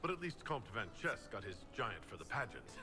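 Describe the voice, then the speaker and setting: A deep-voiced video game protagonist speaks with measured sincerity. He is a sympathetic leader, and music plays clearly behind him. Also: sing-song, big-room sound. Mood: earnest, curious. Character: male heroic leader, earnest, measured and sympathetic.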